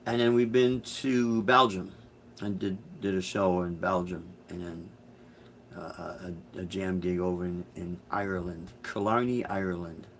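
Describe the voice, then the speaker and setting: A man's voice: low voice, steady tone, unhurried, slight background noise. A middle-aged man from Chicago chatting casually on a live stream, answering viewer comments in a rambling, hesitant way with many restarts. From Chicago, USA. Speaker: musician hosting a live stream.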